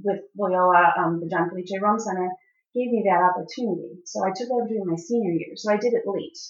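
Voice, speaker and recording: A woman's voice: medium pitch, fast talker. A middle-aged woman from the Chicago area, bilingual in English and Italian, speaking as an interview guest in an earnest way with frequent fillers and restarts. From Chicago area, United States. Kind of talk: casual interview conversation. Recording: dull, roomy sound from a distance.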